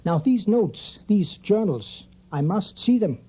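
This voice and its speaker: An actor playing a grave older European professor and vampire hunter, formal, deliberate and secretive, in an audio drama. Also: male voice, high-pitched, sing-song, dark tone, breathy edge, heavily acted. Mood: courteous, urgent.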